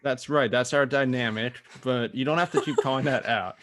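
A man's voice: medium pitch, moderate pace, fairly bright tone, lightly acted. A man voicing a taunting, tough older kid bully in an improvised comedy sketch. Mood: taunting, boastful.

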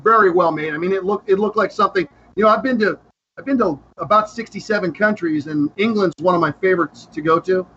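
A man's voice: high-pitched, fast, sharp tone. A man from the cast reminiscing casually, rambling and restarting his phrases. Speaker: cast member, guest. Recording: dull audio with faint background noise.

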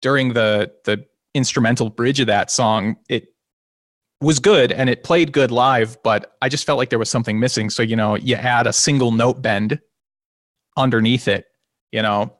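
A man's voice: medium pitch, no echo, studio quality. A man, a singer and guitarist in a band, chatting casually in an interview, a little nasal, rambling and restarting his sentences. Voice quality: slightly nasal. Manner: relaxed and rambling, with many restarts and small laughs.

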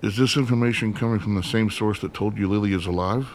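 A man's voice: lowish voice, quick pace, a little hiss or hum, close to an everyday voice. An actor playing a wary male captive in a radio play, asking terse, sceptical questions. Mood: sceptical, probing. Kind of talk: dramatic radio play dialogue.

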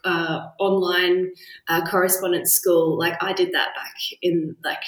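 An Australian woman, a sports dietitian being interviewed in an office, chatty and quick to laugh. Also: lowish voice, level tone, echoey room, low-quality recording. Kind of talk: casual interview chat.

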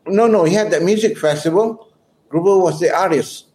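Mellow-voiced man telling stories. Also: sits high, normal speed, low-quality recording. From Malaysia. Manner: rambling, anecdotal storyteller with chuckles. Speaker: guest musician. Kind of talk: casual interview chat.

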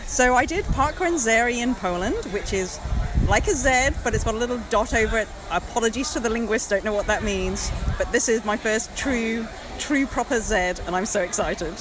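A woman with an English accent, chatting excitedly in an outdoor interview at a park event. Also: a little high, moderate pace, low-quality recording. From England. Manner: bubbly, enthusiastic. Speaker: interviewed parkrunner.

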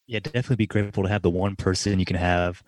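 A man's voice: deep voice, steady tone, darkish tone, dry recording, studio quality. A young man from Middle Tennessee hosting a faith radio talk show, friendly and casual, with frequent "uh" fillers. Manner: friendly, fills pauses with "uh", laughs easily.

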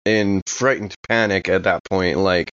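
A man's voice: low-pitched, steady tone, measured pace, fairly bright tone, clear, resonant tone, no room sound, dull audio. A man playing a tabletop game, casually roleplaying and describing his character's actions.

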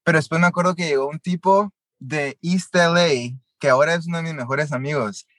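A man's voice: fairly high pitch, brisk, fairly bright tone, dry recording. A young man from Guatemala, telling his story as an interview guest and mixing with English. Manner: switches between Spanish and English mid-sentence, many fillers.

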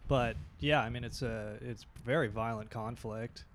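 A man's voice: mid-range voice, fairly even intonation, moderate pace, fairly bright tone, a little hiss or hum. A man co-hosting a podcast, chiming in with dry, matter-of-fact remarks. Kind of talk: casual chat.

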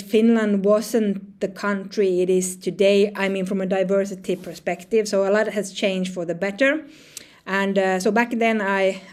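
A woman's voice: medium pitch, a little mellow. Woman, forties, from northern Sweden, founder guest, loose talker who restarts her sentences often. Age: 40s. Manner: halting, many restarts and fillers, light laughs. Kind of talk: conversational interview answers.

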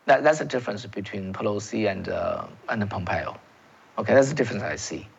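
A man's voice: fairly low pitch, lively intonation, brisk, vocal fry, husky, muffled recording. Emphatic man with a Chinese accent. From China. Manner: emphatic, repeats words and restarts, addresses viewers as folks. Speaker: political commentary host. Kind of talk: solo political commentary.

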